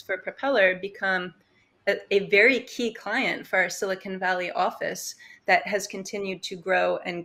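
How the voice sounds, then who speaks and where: Bright-voiced woman, thoughtful guest. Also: mid-range voice. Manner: thoughtful, reflective, many fillers. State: slightly hoarse. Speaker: podcast guest, consultant. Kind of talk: casual interview talk. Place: home room, indoors. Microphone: earbud headset on video call.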